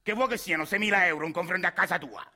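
A man's voice: sits high, rapid-fire, pushed and bright, voice pushed up, full character voice. A male stage actor playing a sly, menacing false friend who talks with a condescending Roman drawl. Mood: smooth, persuasive.

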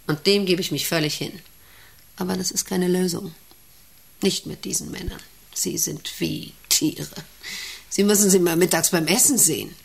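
A woman's voice: mid-range voice, creaky. An actress in a German radio play playing an imperious, obsessive female factory boss with a haughty, commanding, brooding manner. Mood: agitated, obsessive.

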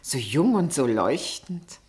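A poised lady confidante in a vintage radio drama. Her voice is very low for a woman, and she questions with composure and gentle irony. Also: very animated, slow pace, full character voice. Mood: curious, admiring. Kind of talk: 1960s German radio play acting.

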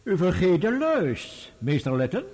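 An actor playing a formal, authoritative judge presiding over a court in a radio play. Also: male voice, high-pitched, wildly swooping pitch, measured pace, darkish tone, a little hiss or hum, full character voice. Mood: formal, dry.

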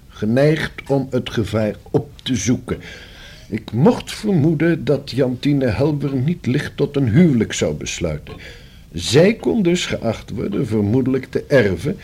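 Give this voice to a discreet, pedantic male notary in a radio play. His pitch is average for a man, and the tone is a little husky. He sets out legal matters in long, careful, formal sentences. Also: darkish tone, full character voice. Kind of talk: Dutch radio play acting.

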